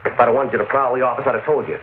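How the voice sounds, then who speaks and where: A man's voice: medium pitch, steady tone, rapid-fire, natural voice. Stern cop, played by a man, short clipped orders, phone-thin crackly old broadcast. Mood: commanding. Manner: curt and commanding. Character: stern male police officer. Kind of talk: 1940s radio acting. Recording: tinny, hissy old radio broadcast.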